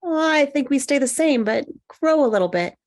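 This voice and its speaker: A woman playing an awkward, quietly competitive single woman in a screenplay table read, conversational and defensive. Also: high voice, expressive, moderate pace. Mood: polite, guarded.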